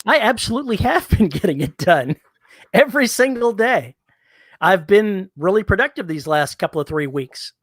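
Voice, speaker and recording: A man's voice: high voice, a bit bright, dry recording, studio quality. A man with a Texan accent co-hosting a podcast, chatting casually and reading listener comments aloud with an easygoing, self-deprecating manner. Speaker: podcast co-host. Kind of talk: casual chat.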